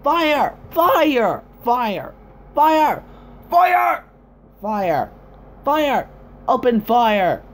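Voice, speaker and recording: Casting: man playing an urgent, exclamatory fantasy soldier for game battle calls, high and swooping, noisy home recording. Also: big pitch swings, extremely slow, long pauses, heavily acted. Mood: shouting. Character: excitable male fantasy soldier shouting battle voice lines. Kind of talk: amateur game-style voice lines.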